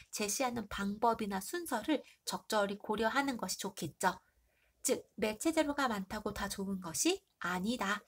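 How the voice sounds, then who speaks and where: Hoarse, stuffy-nosed woman teacher. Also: a little high, a bit bright. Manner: asks the class questions and answers them herself. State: stuffy nose and hoarse throat. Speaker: middle school Korean teacher. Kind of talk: exam review lesson.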